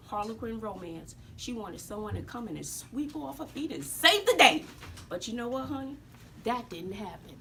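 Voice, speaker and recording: A woman acts out a feisty old American storyteller with a high, forceful voice. She is folksy and sassy, delivering her classroom tale with dramatic emphasis and repetition. The recording has noticeable room noise. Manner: emphatic, dramatic, repeats phrases, addresses listeners as sugar. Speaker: teacher telling her life story to students. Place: classroom with students present.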